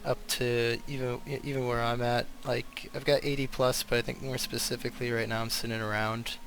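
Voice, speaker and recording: A man's voice: mid-range voice, level tone, brisk, fairly bright tone, a little hiss or hum, low-quality recording. Man rambling through casual game commentary. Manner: rambling, thinking aloud. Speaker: game streamer. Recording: game music behind the voice. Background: video game music.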